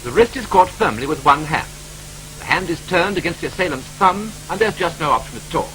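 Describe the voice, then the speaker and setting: A man with a British accent narrating a 1940s training film in a brisk, clipped, wryly matter-of-fact manner, from an old film soundtrack. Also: on the high side, animated, fairly bright tone, slight background noise, low-quality recording.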